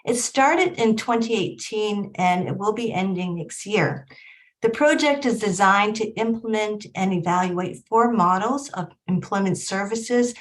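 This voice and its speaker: A middle-aged woman from Hong Kong, a research director speaking on a panel in a measured way over a video call. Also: medium pitch, poor audio. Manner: measured, with frequent ums. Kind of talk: panel discussion. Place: home or office in Vancouver.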